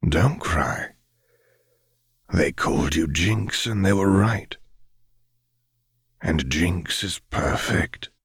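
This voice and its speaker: A man voicing a calm, calculating father figure with soft menace in an audiobook, speaking in a measured, deliberate way. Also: low-pitched, slightly rough, no echo, studio quality, exaggerated voice. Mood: soothing.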